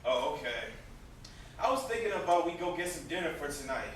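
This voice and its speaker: A man's voice: a little high, animated, a little fast, close to an everyday voice. A man acting on stage as a teasing but protective older brother, with a sarcastic, needling, theatrical delivery. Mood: casual, coaxing. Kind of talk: amateur stage play acting. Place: indoor stage, recorded from afar. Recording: echoey stage, distant noisy camera microphone.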